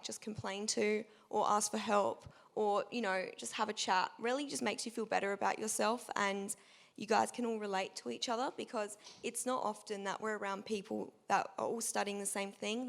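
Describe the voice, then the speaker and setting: Woman student with a gravelly edge. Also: mid-range voice. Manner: frank, friendly advice. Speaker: student panelist. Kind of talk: casual panel talk.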